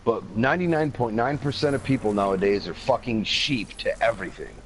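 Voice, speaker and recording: A man's voice: medium pitch, fairly quick, slight background noise, low-quality recording. An American man in casual voice chat with a gaming friend, blunt and profane. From United States.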